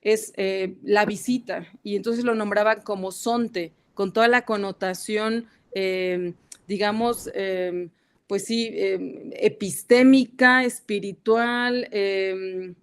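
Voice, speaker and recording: A woman's voice: medium pitch, fairly even intonation, slow pace, smooth. A woman with a Mexican accent giving an academic panel talk over a video call, hesitant and full of fillers and restarts. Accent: Mexican Spanish. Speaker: guest academic speaker.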